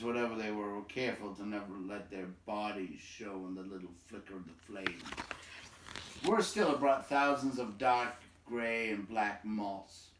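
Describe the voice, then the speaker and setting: A man reading a fantasy story aloud in a dramatic, measured style, with suspenseful emphasis and pauses. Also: on the low side, fairly bright tone. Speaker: storybook reader. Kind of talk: dramatic read-aloud narration of a fantasy novel. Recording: roomy echo, distant microphone.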